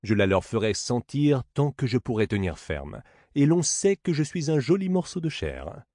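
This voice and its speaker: A man's voice: medium pitch, big pitch swings, moderate pace, darkish tone, no room sound, clean studio sound. A man voicing a swaggering, crude servant in a stage play who brags and blusters, spoiling for a fight. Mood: crude bragging.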